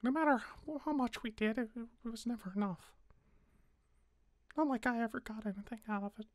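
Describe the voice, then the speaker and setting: Small forgotten flower creature, voiced by a woman: high voice, bitter, wounded, hesitant, roleplay drama, dry close recording. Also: a little fast, dry recording, natural voice. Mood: wistful, sad.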